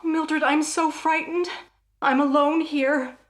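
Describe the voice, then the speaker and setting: Lady playing a scared anxious wife in a radio play, high voice, halting and pleading. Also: fairly even intonation. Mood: frightened. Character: frightened, anxious wife alone at home.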